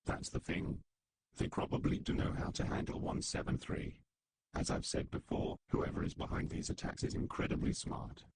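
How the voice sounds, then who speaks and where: A man's voice: moderate pace, a little mellow, dry recording, natural voice, rough recording. A man playing a grim secret-organisation council member in a whispery, voiceless tone, in dramatic meeting dialogue. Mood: grave, analytical.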